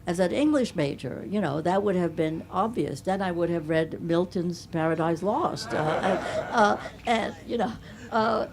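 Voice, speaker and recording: An older woman with a slight Hungarian accent, a native Hungarian speaker, answering audience questions at a book talk in a playful, affectionate, deliberate way. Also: fairly low pitch. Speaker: author and professor giving a book talk. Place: bookstore event.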